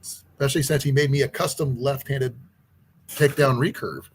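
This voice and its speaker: A man's voice: mid-range voice, fairly even intonation, moderate pace, slight background noise. A man hosting his own outdoors channel, chatting casually and rambling with many restarts about gifts he got. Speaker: channel host. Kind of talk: casual chat.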